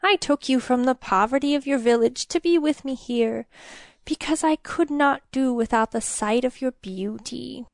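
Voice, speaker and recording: A woman voicing a sly old storybook king with a croaky, scratchy voice and a slow, coaxing, falsely sweet manner. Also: high voice, no echo, studio quality, pitched above the performer's own voice.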